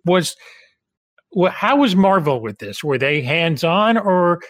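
Man, podcast host, friendly, conversational, slightly higher pitch, dry sound. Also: sing-song, slow pace, fairly bright tone, no room sound. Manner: friendly, conversational questions with restarts. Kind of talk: interview hosting.

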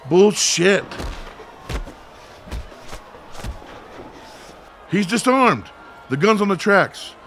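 A distraught, foul-mouthed son as acted by a man in an audio drama. His voice sits a little high, urgent and blunt, with faint ambient noise behind it. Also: animated, a little slow, fairly bright tone, a faint crowd, exaggerated voice. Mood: pleading. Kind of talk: radio drama acting.

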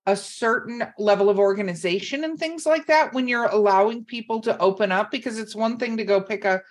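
A woman's voice: mid-range voice, a little fast. A woman hosting a casual interview, chatting in an enthusiastic, blunt way. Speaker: podcast host interviewing an author. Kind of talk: casual chat.

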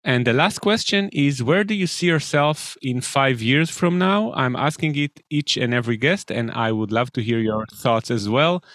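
Male podcast host, mid-pitched, dry close sound, earnest and wordy. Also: lively intonation, moderate pace, hardly any pauses, fairly bright tone, no echo. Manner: earnest, wordy questions with fillers. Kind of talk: interview hosting.

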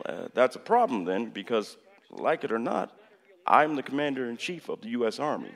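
An actor in a radio play voicing a measured, exasperated president who lectures in halting, emphatic phrases. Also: male voice, mid-range voice. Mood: pressing. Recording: long hall-like reverb.

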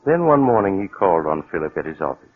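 A man playing the dramatic, deliberate storyteller of a 1940s radio mystery. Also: sits low, very animated. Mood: knowing, suspenseful. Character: ominous male storyteller of a mystery thriller. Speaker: narrator. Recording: old tinny radio recording with music.

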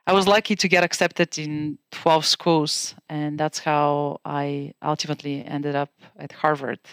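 A woman in her forties from Bulgaria with a Bulgarian accent, a guest calmly recounting her career in a podcast interview. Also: low voice, unhurried, clear, resonant tone, dry recording, clean studio sound.

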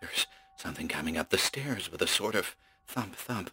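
A man voicing a terrified male radio announcer chained in a house, talking in frantic, pleading bursts that turn shrill. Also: expressive, moderate pace, sharp tone, slightly rough, full character voice. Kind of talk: audiobook character voice.

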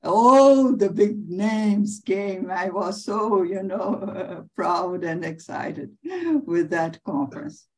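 Slow, halting middle-aged woman professor. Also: mid-range voice. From Brazil. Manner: halting, many restarts and fillers, laughs often. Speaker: interviewee, professor. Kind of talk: conversational interview.

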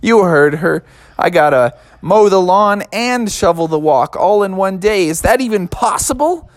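Man as young frog talk-show host, eager, earnest, lively pitch, dry close sound. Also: measured pace, no room sound. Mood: worried.

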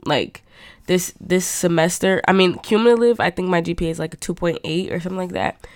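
Young woman host, low-pitched, nasal and congested from a cold, casual rambling chat, dry close sound. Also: brisk, no echo. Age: young adult. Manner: rambling, chatty, lots of fillers. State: stuffed up from a cold. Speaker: podcast host. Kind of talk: casual monologue.

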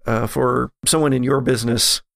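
A man acting as game master, improvising horror narration for a tabletop game with halting, suspenseful pauses. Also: medium pitch, fairly even intonation, vocal fry, a bit bright, no room sound, studio quality.

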